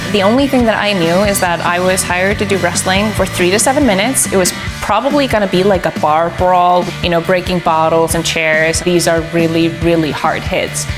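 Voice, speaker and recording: A woman, a stunt performer and actress, speaking frankly and lively in a documentary interview. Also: fairly low pitch. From Iceland. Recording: music playing under the voice.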